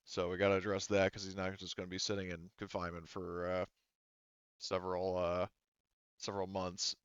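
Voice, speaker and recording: Halting low-voiced man running a game. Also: completely flat delivery, clear, resonant tone, no room sound, highs cut off. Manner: halting, thinking aloud. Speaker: game master. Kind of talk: casual tabletop game narration and rules talk.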